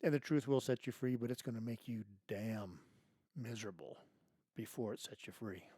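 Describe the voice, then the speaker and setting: A man hosting his own show, delivering an emphatic political monologue full of rhetorical questions and restarts, his voice going hoarse. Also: mid-range voice, normal speed, mellow, no echo, studio quality. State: losing his voice. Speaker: podcast host.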